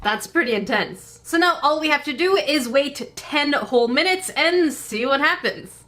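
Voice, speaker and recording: A woman's voice: sits high, lively intonation, normal speed, fairly bright tone, a little hiss or hum. A woman hosting a casual craft-kit test vlog from her home craft room, chatty and playful with jokey asides. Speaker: craft channel host.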